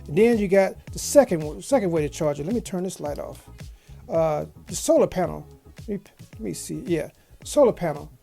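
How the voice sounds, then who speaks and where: A woman's voice: on the low side, expressive, fairly quick, vocal fry, dark tone, soft background music. A woman giving a casual product review as a video host, in a folksy, slangy way with repeated phrases. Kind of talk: casual unboxing review chat. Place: small home office studio.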